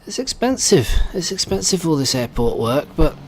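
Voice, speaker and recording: A man's voice: a little high, big pitch swings, moderate pace, darkish tone, slight background noise. A man giving casual let's play commentary, thinking aloud in a hesitant way as he goes. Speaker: gaming video host.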